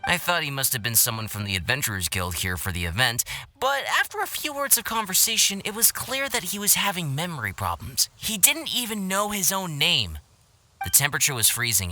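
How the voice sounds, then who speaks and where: An actor playing an earnest, excitable, good-natured young male adventurer in a video game cutscene. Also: a little high, exaggerated voice.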